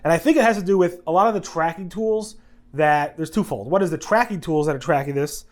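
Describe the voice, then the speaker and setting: A congested man with a head cold, hosting a casual video news recap in a rambling way, often correcting himself and adding quick asides. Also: a little high.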